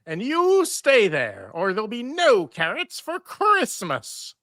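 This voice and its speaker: A woman's voice: fairly high pitch, huge pitch swings, takes it slowly, fairly bright tone, no room sound, clean studio sound, heavily acted. A woman playing a pompous, petulant, greedy stage magician who scolds theatrically in a live cartoon table read. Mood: greedy, scolding.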